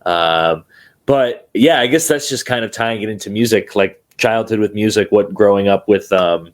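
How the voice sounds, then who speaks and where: A man's voice: on the low side, moderate pace. A young man from New Hampshire, a drummer being interviewed over a video call, talking casually with many ums and restarts. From New Hampshire, USA. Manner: relaxed, hesitant with many fillers and restarts. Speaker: guest drummer. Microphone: remote video call.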